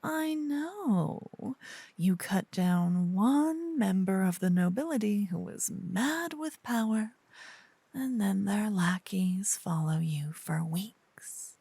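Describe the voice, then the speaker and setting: A woman's voice: mid-range voice, expressive, takes it slowly, mellow, no room sound, heavily acted. A woman playing a possessive demon queen, doting yet wrathful, in a whispery ASMR fantasy roleplay with grand, theatrical phrasing. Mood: wary, murmuring. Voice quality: breathy, whispery.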